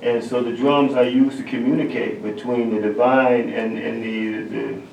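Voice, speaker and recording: A male drummer being interviewed, with a gravelly voice at an ordinary pitch, who gropes for words and restarts often before landing on his point. He is heard in an echoey room, somewhat away from the microphone, with faint background noise. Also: fairly even intonation, a little mellow. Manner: thoughtful, halting, many fillers. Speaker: drummer, guest. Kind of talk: interview answers. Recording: roomy sound from a distant mic.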